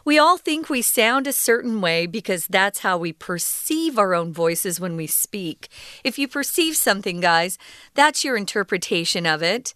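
A woman co-hosting an English lesson podcast, explaining words patiently in a relaxed conversational way with fillers. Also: medium pitch, normal speed, smooth, no room sound, studio quality. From United States. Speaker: co-host teaching English.

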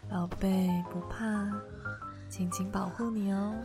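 A woman performs a young female lead in an audio drama. Her voice sits at an average pitch and is played with earnest emotion. Music runs clearly behind her. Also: fairly even intonation, slow pace. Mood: tender, soothing. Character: young woman, defiant captive and doting girlfriend.

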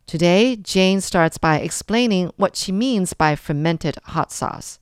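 A woman from Taiwan hosting a radio interview, asking measured questions and affirming often. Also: fairly low pitch, lively intonation. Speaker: radio show host. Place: studio.

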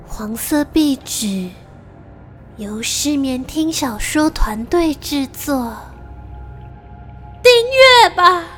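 A woman's voice: sits high, a bit bright. A woman announcer giving a playful, acted outro.

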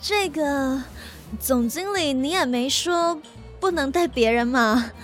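A woman's voice: sits high, slow pace, soft background music. A woman voicing a flustered young female office worker on probation, who stammers and panics easily. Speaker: voice actor in an audio drama. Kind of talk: modern audio drama acting.

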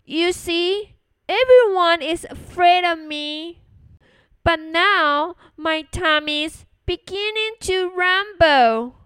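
A small, crafty storybook mouse full of boasts, acted out by a woman. The voice is high and expressive, with bold, cocky delivery at a very leisurely pace. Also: no room sound, heavily acted. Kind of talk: storybook character voice.

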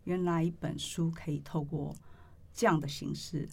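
A middle-aged woman with a Taiwanese Mandarin accent hosts a podcast interview, telling stories conversationally in deliberate, halting phrases. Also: deep voice, steady tone, gravelly, breathy edge. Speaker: podcast host, publishing editor-in-chief. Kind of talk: conversational interview with reflective storytelling.

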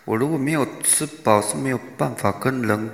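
Guy doing a chatty old granny for a comedy radio play, gravelly and flustered, big echo. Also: mid-range voice, fairly quick, close to an everyday voice. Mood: confused, complaining. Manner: rambling, flustered. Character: muddled chatty old Taiwanese granny passenger. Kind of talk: comic radio drama acting. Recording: echoey hall-like reverb.

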